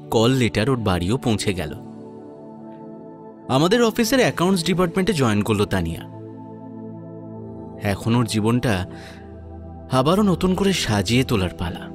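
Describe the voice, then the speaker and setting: A man tells a dramatic audio story in the first person, moving between narration and acted dialogue. His delivery is measured and earnest, at a middle pitch, with new-age music underneath.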